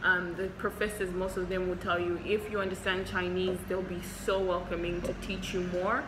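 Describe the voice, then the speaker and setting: A young woman doctor from Zimbabwe, a Shona speaker, talks through viewer questions in a relaxed, candid way with plenty of ums. Her voice sits low, and she records in an echoey hospital room with steady noise behind her. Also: normal speed. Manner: chatty and candid, frequent ums. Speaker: doctor and vlogger. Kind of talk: casual Q&A vlog. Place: hospital, indoors.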